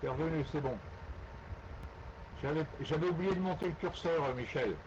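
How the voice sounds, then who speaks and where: A man's voice: a little high, normal speed. A man, an amateur radio operator, chatting casually and colloquially in speech, with frequent tag words. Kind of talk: casual ham radio chat. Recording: dull lo-fi radio link, loud noise.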